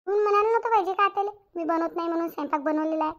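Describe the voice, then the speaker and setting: A woman's voice: very high-pitched, very fast, a bit bright, lo-fi sound. A woman voicing an outspoken, grumbling young daughter-in-law in a Marathi cartoon, with a rural Varhadi accent. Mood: indignant complaining. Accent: rural Maharashtra, Varhadi Marathi. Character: indignant young village daughter-in-law, outspoken, complaining, caring. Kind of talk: domestic cartoon story dialogue.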